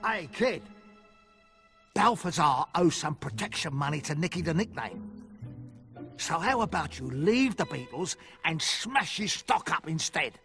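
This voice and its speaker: A shady protection-racket thug in a video game. His voice sits a little high, with a hard edge and a creak. He wheedles like a crook, with faint music behind. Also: animated, a little slow, pushed and bright, heavily acted. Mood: sly, tempting. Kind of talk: video game cutscene dialogue.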